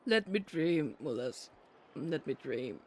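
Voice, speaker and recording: A man's voice: a little high, very animated, slow pace. A man chatting casually with viewers as he streams a game, rambling and muttering distracted asides. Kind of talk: casual live gameplay chat with viewers. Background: faint game music.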